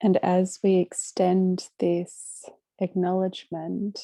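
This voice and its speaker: A woman leading a guided meditation, speaking gently and unhurriedly with long pauses between short phrases. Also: on the low side, completely flat delivery, creaky, dry recording. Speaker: meditation guide hosting a live session.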